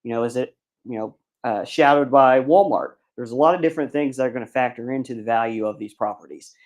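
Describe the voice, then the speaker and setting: A man's voice: medium pitch, fast, darkish tone, lo-fi sound. A man who works as a bank commercial lender, giving a seminar lecture in a plain, explaining way with many ums and uhs. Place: seminar room.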